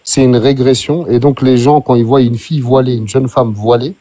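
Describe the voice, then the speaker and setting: A man's voice: medium pitch, fairly even intonation, moderate pace, warm tone. A man interviewing, bluntly challenging the person he questions. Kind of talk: provocative questioning.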